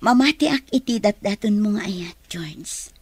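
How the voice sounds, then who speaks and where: An actress playing a soft, hesitant young woman being courted in an Ilocano radio drama, with an Ilocano accent. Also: mid-range voice, lively intonation, normal speed, husky, dry recording. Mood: shy, tender.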